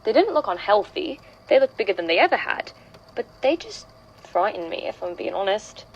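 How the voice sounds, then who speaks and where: A woman's voice: medium pitch, sing-song, moderate pace, dull audio, slight background noise. A woman playing an anxious young woman giving a hesitant, conversational account of frightening, uncanny events in a horror audio drama. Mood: troubled, puzzled. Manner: hesitant, conversational, trailing off and self-correcting. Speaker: voice actor in a horror fiction podcast. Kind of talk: naturalistic confessional horror monologue.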